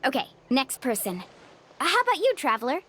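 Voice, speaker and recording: A woman's voice: high voice, huge pitch swings, fairly quick, fairly bright tone, slight background noise, full character voice. An actress playing an easygoing, upbeat young female travel guide in a video game, conversational and encouraging. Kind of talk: video game character acting.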